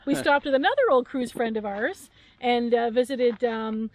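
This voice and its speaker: A woman in her fifties from Canada talks in a slightly high voice, rambling and giggly with plenty of "um" and "uh". She sits outdoors by a camper van at dusk, picked up by a camera a few meters off. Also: moderate pace, dry recording, low-quality recording. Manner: chatty, giggly, many fillers. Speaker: vlog co-host. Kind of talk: casual chat.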